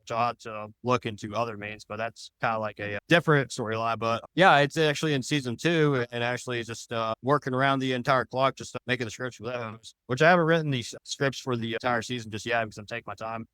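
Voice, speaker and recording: A man's voice: medium pitch, fast, hardly any pauses, fairly bright tone, smooth, dry recording. A man talking casually as a podcast guest, rambling along in loosely worded sentences. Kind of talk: casual interview chat.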